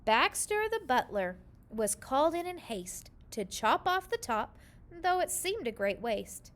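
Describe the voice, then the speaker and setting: A woman reading a children's story aloud in an expressive, animated storytelling manner. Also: high-pitched, unhurried. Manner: expressive, animated delivery with character voices. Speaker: storybook reader.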